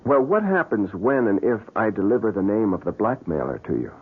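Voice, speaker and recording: A muffled old-time radio recording carries a greedy, slick hustler. He has a low voice that swoops widely, selling his scheme glibly between small hesitations. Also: big pitch swings, fairly quick, husky, exaggerated voice. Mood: careful, probing. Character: glib, greedy, scheming man running a crime-prevention agency.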